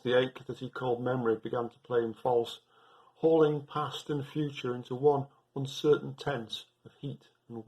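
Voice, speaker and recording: Man reading poetry aloud, measured phrasing. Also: mid-range voice, a little mellow, rough recording. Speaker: poetry reader.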